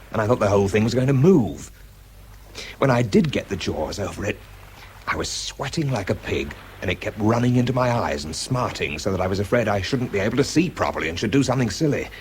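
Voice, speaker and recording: A low-pitched British man as a self-doubting wartime bomb disposal man. His intonation swings widely between terse, concentrated reporting and private, confessional recollection. It is a radio drama performance with some faint noise behind the voice. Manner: clipped reports alternating with quiet, confessional recollection. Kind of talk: radio drama acting, first-person narration and spoken report.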